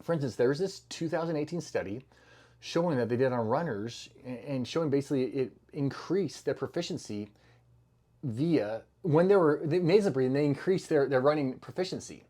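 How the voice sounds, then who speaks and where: A middle-aged man explaining health habits in a relaxed, chatty way. He wanders through his points with plenty of fillers and self-corrections, at an ordinary pitch, sounding like a clinician talking one-on-one with a patient. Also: normal speed. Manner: loose, conversational, many fillers and restarts. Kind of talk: casual educational talk to camera.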